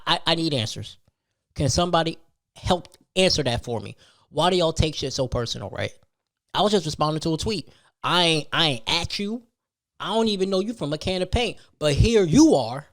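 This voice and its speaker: A man's voice: on the high side, very animated, moderate pace, a bit bright. Emphatic man on a slangy solo rant. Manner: emphatic, slangy, repeats phrases and rhetorical questions. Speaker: podcast host telling a personal story.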